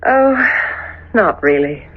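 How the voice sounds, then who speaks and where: An actress playing a troubled, guarded young fiancee in a 1970s radio drama, hesitant and earnest. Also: female voice, mid-range voice, very animated, slow pace. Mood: guarded, downcast. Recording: tinny, noisy old radio recording.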